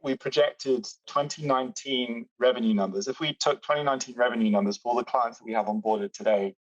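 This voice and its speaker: A British man walks through software features on a video call, fluent and matter-of-fact. He sits some way back from his mic, and the call audio is dull and lo-fi. The room sounds dry. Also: medium pitch, quick pace, dry recording, recorded from a distance. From Britain. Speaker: booking software executive. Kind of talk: explaining a product on a video call.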